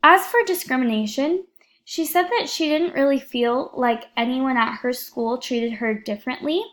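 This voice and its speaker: A woman's voice: high-pitched, normal speed, fairly bright tone. Earnest young woman reading a class report. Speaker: education student presenting a class project.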